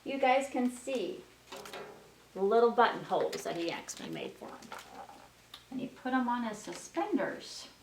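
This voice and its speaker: A woman's voice: on the high side, expressive, normal speed, pauses often. A woman storyteller reading aloud to young children in a sing-song way, prompting her listeners with questions. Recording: roomy, distant mic with faint noise.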